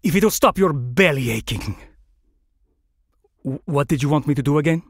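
A narrator voicing a slow-witted, haughty male flamingo with a prim, obtuse manner in an audio story. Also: medium pitch, expressive, no echo, studio quality. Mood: grudging. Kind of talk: audio fiction character voice.

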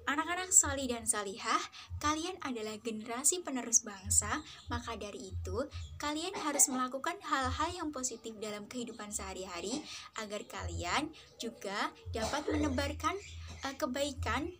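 A young woman teaching a lesson to primary school children, slow and careful, with small fillers. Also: fairly high pitch, animated. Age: young adult. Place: dark room, seated before a backdrop.

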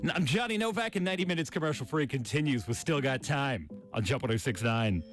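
A young man presenting a pop radio show as DJ, with energetic, punchy delivery into a studio microphone. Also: mid-range voice, fast talker. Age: young adult. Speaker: radio DJ, music show host. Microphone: studio broadcast microphone on a boom arm. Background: faint music bed.

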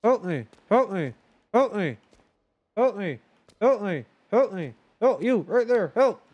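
A young man in his twenties streaming a horror game from home on a headset mic, joking loudly and swearing as he talks to chat. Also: high voice, slow pace, dull audio. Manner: loud, swearing, joking, animated. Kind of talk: casual gameplay commentary with chat. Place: home gaming room, indoors.

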